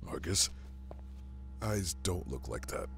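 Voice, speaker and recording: Proud excitable male god, very low voice, wide swooping delivery, persuasive, theatrical, audio drama. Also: slow pace, vocal fry, faint music behind, heavily acted. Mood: worried, pleading. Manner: theatrical, persuasive, easily indignant.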